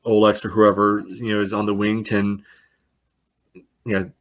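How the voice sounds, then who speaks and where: A man with a lowish, very even voice gives casual sports analysis on a podcast. His phrasing is loose and padded with filler. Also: moderate pace. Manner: loose phrasing with frequent "you know". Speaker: podcast panelist.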